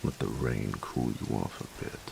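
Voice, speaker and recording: An actor playing a gruff young bully boyfriend, teasing but secretly tender, in an intimate audio roleplay. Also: male voice, very deep voice, moderate pace, full character voice. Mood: gruff, flustered. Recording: hiss with rain sounds behind. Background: steady rain.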